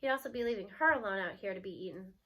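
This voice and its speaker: A woman narrating a children's story aloud in an expressive read-aloud style. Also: medium pitch, rapid-fire.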